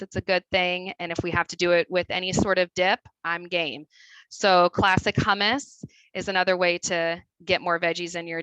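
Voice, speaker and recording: A woman with a Midwestern accent walks through her slides as a dietitian, chatty and full of fillers. Her voice is bright and crisp, sitting a little low, and the sound is dry and close. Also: no echo, rough recording. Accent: Midwestern American. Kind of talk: webinar presentation. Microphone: video call.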